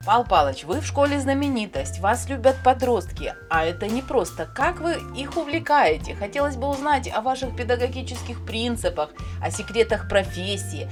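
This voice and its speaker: A woman's voice: on the high side, animated, normal speed, hardly any pauses, close to an everyday voice. A woman playing a courteous, inquisitive interviewer in an educational cartoon, speaking in a formal, scripted way. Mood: polite, curious. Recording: music behind the voice.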